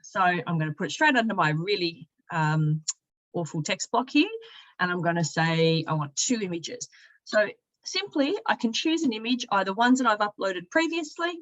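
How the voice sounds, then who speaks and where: A woman narrating an informal software walkthrough, explaining each step conversationally as a product demonstrator. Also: medium pitch, expressive, normal speed, muffled recording. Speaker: product demonstrator, company sales and marketing director.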